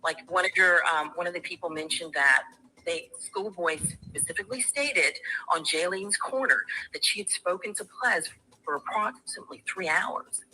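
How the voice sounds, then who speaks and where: Very bright-voiced woman caller, noisy line. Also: fairly low pitch, normal speed. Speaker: caller on a livestream. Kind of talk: opinionated panel discussion.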